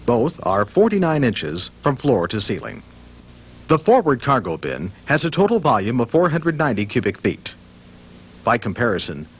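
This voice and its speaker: A man's voice: mid-range voice, breathy edge. A man reading scripted narration for a training film in a formal, factual manner.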